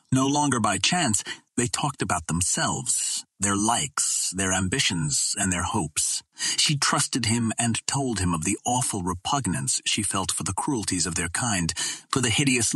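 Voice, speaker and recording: Man playing a gentle young alien woman, lonely, wistful, soft-spoken, husky, audiobook. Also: lowish voice, no room sound, full character voice. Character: lonely gentle female green Martian, young.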